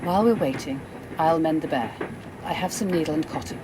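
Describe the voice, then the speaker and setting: A woman playing a kindly, concerned lady on a train in a radio play, polite and expressive. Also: a little fast, natural voice. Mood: helpful. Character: Carol, kindly, well-spoken, concerned lady passenger. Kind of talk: amateur radio play acting. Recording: noisy, train carriage rumble behind.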